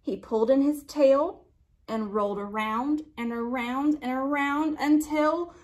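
A young adult woman reading a children's picture book aloud with expressive, drawn-out emphasis, pausing to put questions to young listeners. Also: high voice. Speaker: library storytime reader.

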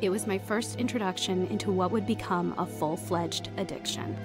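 A woman acts a young woman looking back on secretive, troubled teen years. It is scripted audio drama narration in a medium-pitched voice, with clear music behind. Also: moderate pace, close to an everyday voice. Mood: reflective. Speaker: narrator and lead actress.

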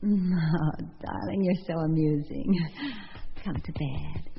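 A woman's voice: low-pitched, slow, creaky, slight background noise, full character voice. An actress playing a witty, flirtatious, self-dramatizing socialite woman in a radio drama, theatrical and arch. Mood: coaxing. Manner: arch, theatrical, fond of "darling". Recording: dull lo-fi radio drama audio.

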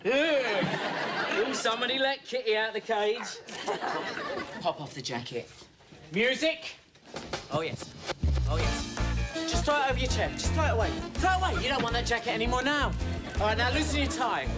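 A man's voice: high-pitched, sing-song, a little slow, long pauses, over loud music. An actor playing a flamboyant young male photographer who fawns over his subject and calls everyone darling. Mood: gushing. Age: young adult. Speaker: magazine photographer. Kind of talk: sitcom acting.

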